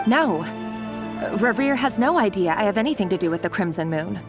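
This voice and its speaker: An actress playing an earnest, secretive and protective young woman in a video game, measured and resolute over background music. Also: mid-range voice, expressive, close to an everyday voice. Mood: earnest, guarded. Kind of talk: scripted video game voice acting. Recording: game dialogue with music underneath.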